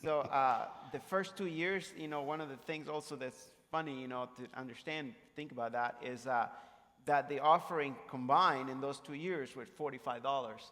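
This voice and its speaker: A man from Costa Rica with a Costa Rican accent, a native Spanish speaker, telling his story conversationally as a guest pastor, in a halting way. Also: mid-range voice, fairly bright tone. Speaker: missionary pastor, interview guest. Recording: reverberant large hall.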